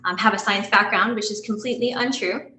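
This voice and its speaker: A woman's voice: mid-range voice, steady tone, a little fast, pushed and bright, roomy sound, slight background noise. A woman in her thirties from New York giving a slide talk to an online audience as a guest dentist, earnest and full of ums and restarts. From Long Island, New York.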